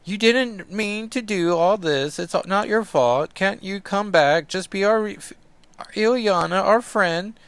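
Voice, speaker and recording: A man's voice: high voice, measured pace, a bit bright, clean tone, dry recording, pitched above the performer's own voice. A man voicing a teenage girl with a put-on Scottish accent, pleading with her friend.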